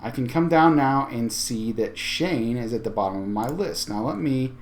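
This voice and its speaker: A man talking informally through a software tutorial, thinking aloud as he goes. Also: mid-range voice, animated, normal speed, a little hiss or hum. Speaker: tech tutorial host. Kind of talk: casual screen-share tutorial.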